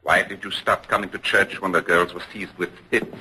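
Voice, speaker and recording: An actor playing a stern, accusing prosecutor in an old-time radio drama, questioning formally and with command. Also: male voice, low voice, little pitch movement, moderate pace, bright, forceful tone. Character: stern, accusing male prosecutor at witch trial. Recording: noisy vintage radio broadcast.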